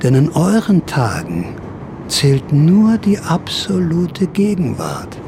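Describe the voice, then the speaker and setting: A man's voice: on the high side, huge pitch swings, slow pace, background noise, exaggerated voice. A man playing a sly typewriter thief who narrates his own story in a theatrical, wry radio-play style. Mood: mocking. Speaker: first-person narrator and lead of a radio drama.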